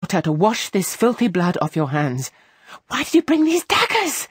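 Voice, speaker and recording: An actress playing a ruthless, scornful murderous wife in a theatrical Shakespearean drama, intense, commanding and breathy. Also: female voice, mid-range voice, big pitch swings, normal speed, no echo, studio quality.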